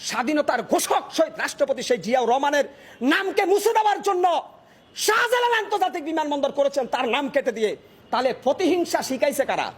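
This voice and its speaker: A Bangladeshi man delivering a fiery political speech in an impassioned, declamatory manner, often shouting and using repetitive rhetorical phrasing. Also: extremely high pitch, animated, fast talker. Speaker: political speaker, self-described hawker.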